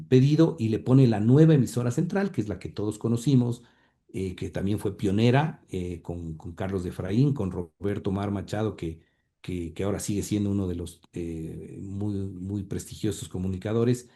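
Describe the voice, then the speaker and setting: A man's voice: fairly low pitch, dark tone. A man telling family history in an interview, hesitating often with fillers and repeated words. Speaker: interview guest, grandson of the radio pioneer. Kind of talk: conversational storytelling interview.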